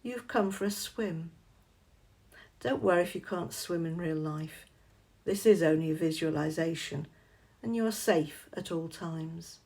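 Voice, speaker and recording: Slow-paced woman leading guided meditation. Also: lowish voice, a little mellow.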